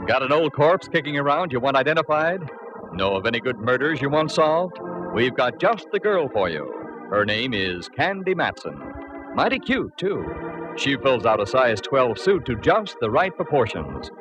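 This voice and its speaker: Actor as a swooping, jaunty American radio announcer. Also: male voice, mid-range voice, sing-song, moderate pace, close to an everyday voice. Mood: jaunty, teasing. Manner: playful, theatrical salesman patter. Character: jaunty, teasing male radio show announcer. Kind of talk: 1940s radio introduction, scripted patter. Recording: dull 1940s radio recording. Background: music.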